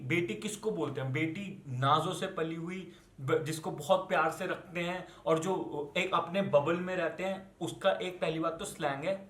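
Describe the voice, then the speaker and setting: Young male ranter, Indian accent, raised pitch, combative and sweary, fires questions at the listener, reverberant room sound. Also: a bit bright. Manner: scolding, confrontational, swearing, rhetorical questions; switches between Hindi and English. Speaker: online commentator. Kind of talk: angry unscripted rant to viewers.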